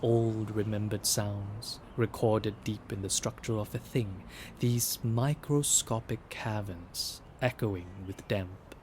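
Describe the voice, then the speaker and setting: A solemn guide through ancient ruins in an audio drama. He speaks very slowly in a lowish male voice, hushed and deliberate, giving poetic instructions to the one who records. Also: steady tone, a little hiss or hum. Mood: hushed, contemplative. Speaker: narrator guide. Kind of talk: scripted audio drama narration.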